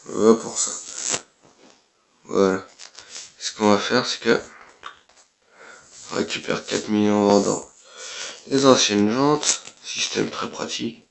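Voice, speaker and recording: A man's voice: fairly low pitch, slow pace, vocal fry, long pauses, slight background noise. A man, who has a cold, giving casual, blunt let's play commentary as a gaming YouTuber and swearing offhandedly.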